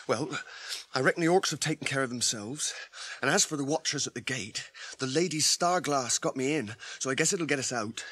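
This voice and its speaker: A man's voice: medium pitch, fairly quick, a bit bright, natural voice. A man playing a loyal, homely, practical hobbit servant in a radio drama, speaking deferentially with a rural English accent. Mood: practical, bustling. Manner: deferential, plain-spoken, rustic. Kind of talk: British radio drama acting. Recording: slightly noisy archive radio recording.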